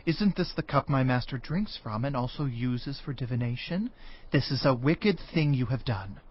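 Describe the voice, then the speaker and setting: An actor playing a stern, high-ranking governor who speaks formally and with authority in a scripture audio drama. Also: male voice, lively intonation, normal speed, husky. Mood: urgent command. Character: Joseph, commanding male governor of high rank. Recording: dry, slightly dull studio recording.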